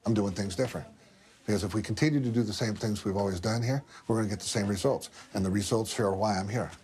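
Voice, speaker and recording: A man's voice: lowish voice, fast, a little mellow. A man answering interview questions as a school superintendent, with blunt, folksy phrasing.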